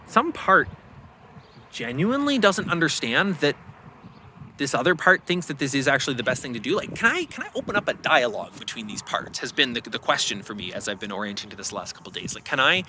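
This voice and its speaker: A man in casual, reflective conversation, animated and prone to acting out inner voices as he explains. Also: fairly high pitch, brisk, a little hiss or hum.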